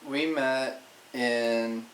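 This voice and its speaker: A man in his twenties chatting casually beside his wife at home, relaxed and dry, with halting restarts. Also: medium pitch. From Texas. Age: 20s. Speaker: vlogger's husband. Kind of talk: casual chat. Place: home room indoors, behind the webcam. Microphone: webcam, sitting back. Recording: roomy sound with faint noise.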